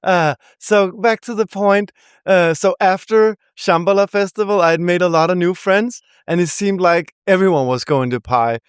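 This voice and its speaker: Danish-accented young woman, guest rapper; smooth, dry close voice, giggly storyteller. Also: on the low side, moderate pace, no room sound. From Denmark. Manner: chatty, laughs often, rambling asides. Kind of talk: casual interview chat.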